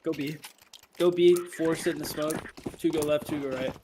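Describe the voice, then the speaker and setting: A man on team voice chat calmly calling out the plan for the round. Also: on the high side, normal speed. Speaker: teammate calling the strategy. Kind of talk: in-game strategy callouts. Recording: voice chat with game noise.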